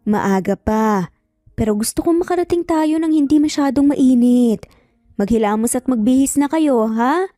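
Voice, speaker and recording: A woman's voice: high-pitched, lively intonation, normal speed, warm tone, studio quality. A woman voicing an affectionate mother of young children in a story, playfully scolding and indulgent. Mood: gently instructing. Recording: faint music, roomy echo.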